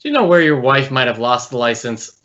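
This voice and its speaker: Polite detective sidekick, old radio show, businesslike, mid pitch, lo-fi muffled sound. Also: male voice, big pitch swings, a little fast, bright, forceful tone. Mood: polite, probing. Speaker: police detective's partner. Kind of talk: 1950s radio acting. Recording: dull old radio recording.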